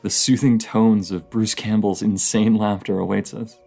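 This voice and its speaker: A man playing a dorky, playful partner in an intimate audio roleplay, rambling, giggly and teasing, with a slightly creaky voice. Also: lowish voice, flat delivery, normal speed, dark tone, soft background music. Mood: sheepish, affectionate. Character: dorky, playful young romantic partner, horror film nerd.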